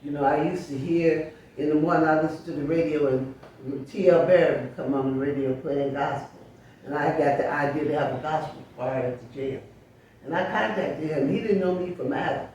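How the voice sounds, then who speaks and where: A woman's voice: low-pitched, a little fast, a little hiss or hum. Older woman telling stories, echoey distant mic. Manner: storytelling, lively recollection. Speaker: guest, author. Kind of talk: reminiscing interview talk.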